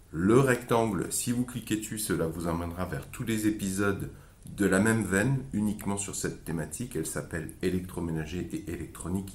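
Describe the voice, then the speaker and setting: A man in his thirties with a very low voice talks straight into a close camera in a small indoor room. He explains things step by step, politely and methodically. The room gives his voice a noticeable echo. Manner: methodical, polite, gestures while talking. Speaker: DIY repair channel host. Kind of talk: direct-to-camera outro talk.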